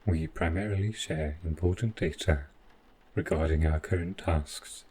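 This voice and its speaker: A man's voice: low-pitched, a little slow, darkish tone, slight background noise. A man playing a polite, devoted robot butler in an audio drama, speaking in a formal, stiffly precise and deferential manner. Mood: calm, attentive. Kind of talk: scripted roleplay audio drama.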